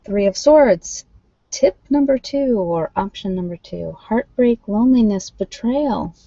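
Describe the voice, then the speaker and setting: A woman chatting casually as a livestream host reading cards, thinking aloud in an informal, halting way, on dull lo-fi audio. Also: medium pitch, animated, dark tone, no echo. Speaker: livestream host doing tarot card readings. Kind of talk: casual live chat with viewers, card reading. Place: at home, indoors.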